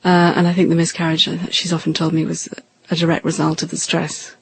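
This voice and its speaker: A middle-aged or older person with a gravelly voice, recalling the past in a halting, subdued interview style. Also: mid-range voice, completely flat delivery, slight background noise. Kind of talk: reflective interview reminiscence.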